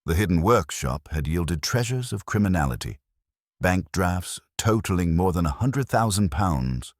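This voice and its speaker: A man narrating a detective story in a measured, formal storytelling manner. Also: sits low, big pitch swings, no echo, clean studio sound. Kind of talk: scripted audiobook narration.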